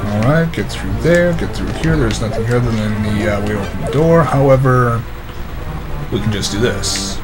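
A tired, slightly hoarse man giving casual, wry gameplay commentary. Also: lowish voice, lively intonation, normal speed. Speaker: let's play commentator. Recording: game music behind the voice. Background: video game music.

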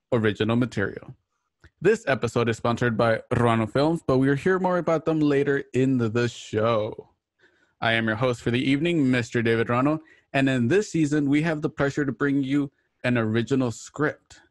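Male show host for a livestreamed drama reading. Mid-range pitch, dry home-studio sound. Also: dry recording. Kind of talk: hosting a livestreamed radio play reading. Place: home, on a group video call.

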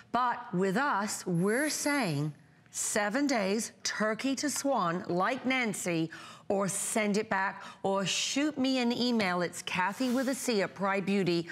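Woman in her 50s doing a shopping channel pitch, chatty and emphatic, lapel mic in a studio. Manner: chatty, emphatic, piles up vivid descriptive words. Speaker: brand founder presenting on a shopping channel. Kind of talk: home shopping sales pitch.

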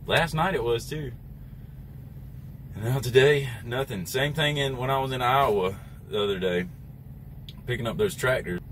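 A man's voice: mid-range voice, moderate pace, long pauses, a bit bright. A middle-aged American man, a truck driver, giving a casual, plain-spoken how-to while recording inside his truck cab. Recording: background noise in truck cab.

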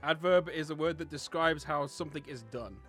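Male game host, jokey and casual, higher-pitched with a bright, forceful edge. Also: fairly quick, soft background music. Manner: animated, jokey. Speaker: host running a word game. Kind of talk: casual chat with friends in a group gaming session.

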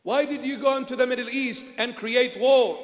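Older Iraqi-accented bishop at the altar, high-pitched and unhurried, emphatic rhetorical sermon with long church reverb. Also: male voice, steady tone. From Iraq. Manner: emphatic, rhetorical questions answered himself, swings between loud and hushed. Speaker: bishop preaching. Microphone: handheld mic.

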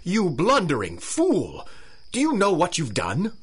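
Pompous english big game hunter baddie for radio drama, husky, really animated. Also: male voice, sits high, measured pace, faint music behind, full character voice. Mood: scornful anger. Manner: grandiose, scornful. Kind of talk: pulp radio drama acting.

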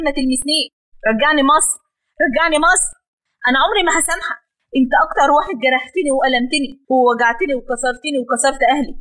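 A woman's voice: high voice, fairly bright tone, rough recording. A woman with an Egyptian accent voicing a heartbroken young wife who accuses her husband in anguish in an audio drama. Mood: grieving.

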